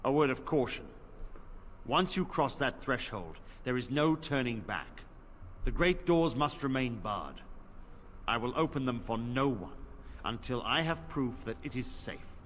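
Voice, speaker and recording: A grave templar commander. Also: male voice, mid-range voice, expressive, a little slow, fairly bright tone, a little hiss or hum. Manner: grave, solemn warnings. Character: stern male templar commander guarding a tower. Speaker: game character. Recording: game audio with long reverb.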